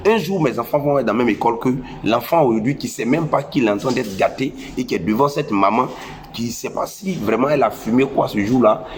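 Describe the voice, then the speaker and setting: A man's voice: medium pitch, quick pace, a little hiss or hum. A young man with a West African French accent and a head cold, lecturing parents in a preachy, scolding way and repeating phrases for emphasis. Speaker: social media commentator. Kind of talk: impassioned moralizing monologue.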